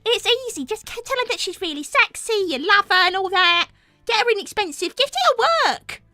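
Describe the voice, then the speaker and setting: A mouthy, teasing cartoon animal acted by a woman, blunt and slangy. The voice is high-pitched, bright and lively, with music behind it. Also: brisk, no room sound, exaggerated voice. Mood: cajoling. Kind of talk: improvised cartoon character roleplay.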